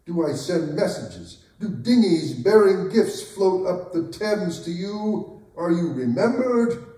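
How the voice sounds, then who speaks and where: A man's voice: high voice, lively intonation, slow pace, warm tone. A man playing a proud, wry, aging king in a theatrical staged reading, declamatory in manner. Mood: challenging. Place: arts center stage, recorded from afar. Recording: very echoey hall, distant mic.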